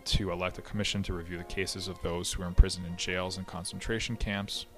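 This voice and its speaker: A very deep-voiced man talks through history in a relaxed, conversational way. He hesitates often, restarting phrases and filling gaps with ums and uhs. Faint music sits quietly behind his voice. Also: fast. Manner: conversational, many fillers and restarts. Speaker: history podcast host. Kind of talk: casual explanatory history talk.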